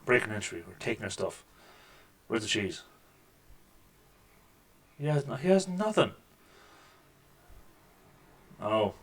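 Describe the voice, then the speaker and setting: A man with a deep voice talks through a video game as he plays it. He keeps up a casual, wry running commentary into a desk microphone at home, cracking dry jokes about what he sees on screen. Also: sing-song, normal speed, breathy edge. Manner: wry, joking asides over gameplay. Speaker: gaming channel host. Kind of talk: casual let's-play commentary. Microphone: desk microphone with mixer.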